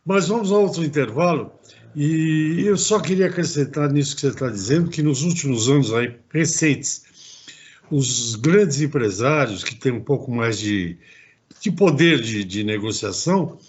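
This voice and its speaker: A man's voice: medium pitch, animated, moderate pace, muffled recording. A man hosting an interview show, chatting in a rambling way with many restarts. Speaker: host. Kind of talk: casual interview talk.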